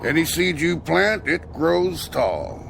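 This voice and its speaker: An actor in a radio drama playing an easygoing long-haul truck driver with a gravelly voice and folksy, casual talk. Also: male voice, on the high side, expressive, slow, background noise, full character voice. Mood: breezy, chatty.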